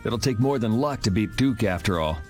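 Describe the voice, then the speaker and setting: A man's voice: lowish voice, animated, a little fast, darkish tone, close to an everyday voice. An actor playing a laid-back, teasing young swordsman in a game skit, casual and wry. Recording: game music behind voice.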